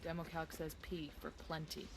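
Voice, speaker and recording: A man voicing a cocky special forces soldier in a video game, brisk and slangy. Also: on the high side, monotone, gravelly. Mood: wry, brisk. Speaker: soldier squadmate. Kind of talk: video game military banter. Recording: loud game battle noise behind.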